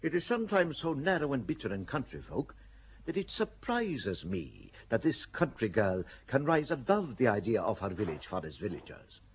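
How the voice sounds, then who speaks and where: An actor playing a calculating bishop in a radio play, speaking in a measured, persuasive way with a husky voice. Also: male voice, mid-range voice, wildly swooping pitch, lo-fi sound, slight background noise. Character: shrewd older churchman, a bishop, calculating.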